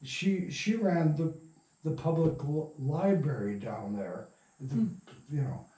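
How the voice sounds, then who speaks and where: A man's voice: fairly high pitch, expressive, dark tone. An older man reminiscing in a casual interview, rambling and often interrupting himself. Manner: halting, rambling, self-interrupting. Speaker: interviewee, radio play writer and producer. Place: room in a house. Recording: roomy echo, distant from mic.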